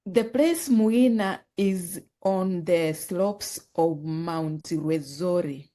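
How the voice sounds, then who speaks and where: A woman from Uganda, telling her life story as a podcast guest, earnest and halting, often repeating words. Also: fairly low pitch, dark tone. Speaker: guest, author and nonprofit founder.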